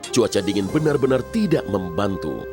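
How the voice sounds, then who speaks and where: A man's voice: on the low side, big pitch swings, normal speed. A man narrating a scripted children's story. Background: music.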